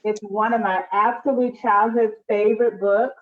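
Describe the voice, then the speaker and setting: A woman's voice: medium pitch, steady tone, normal speed, slight background noise. A woman hosting a live children's read-aloud, chatting to families at storytime. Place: indoors at home, on a live video call. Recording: echoey room, distant muffled mic.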